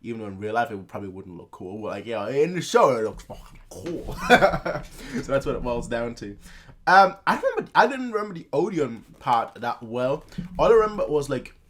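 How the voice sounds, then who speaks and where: A man's voice: medium pitch, big pitch swings, normal speed, a bit bright. A young man hosting a reaction video, talking in a loose, rambling way with lots of filler words. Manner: rambling, heavy use of filler words and restarts. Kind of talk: casual rambling chat.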